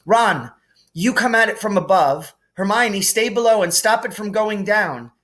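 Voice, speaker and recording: A man's voice: sits high, measured pace, a bit bright, pitched above the performer's own voice. A man voicing an eager, urgent boy hero who calls out short orders in a storybook reading. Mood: urgent, calling out.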